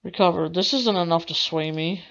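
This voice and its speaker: A woman's voice: lowish voice, normal speed, vocal fry. Theatrical woman reading a game, dull audio. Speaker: game streamer. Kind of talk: dramatic read-aloud of visual novel narration. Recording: dull audio with faint music.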